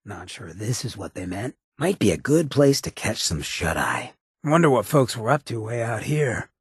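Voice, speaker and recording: A roguish irradiated ghoul from a video game, with an extremely husky, gravel-rough voice on the low side. He drawls slangy, wry remarks with cocky swagger, his pitch sliding around expressively, recorded close and dry. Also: very animated, normal speed, no room sound, full character voice. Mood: wry musing. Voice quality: very raspy, gravelly. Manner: sly, laid-back, slangy swagger. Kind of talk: video game companion voice lines.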